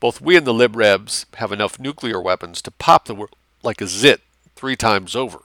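A man's voice: lowish voice, normal speed, bright, forceful tone, no room sound. A man voicing a blunt, cynical officer giving a briefing in a war novel, coarse and slangy. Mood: grim. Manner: coarse, slangy, matter-of-fact.

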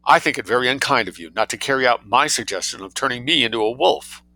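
A man's voice: mid-range voice, animated, fast talker, pushed and bright, pitched above the performer's own voice, poor audio. A man voicing a playful society hostess in a short story, teasing and daring. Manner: teasing, challenging.

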